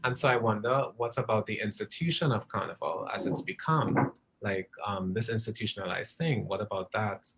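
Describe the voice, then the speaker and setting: A man's voice: lowish voice, normal speed. Guy on a panel asking questions, hesitant, lots of likes and ums, bit of background hiss. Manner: inquisitive, tentative, uses many fillers. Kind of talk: live online panel discussion.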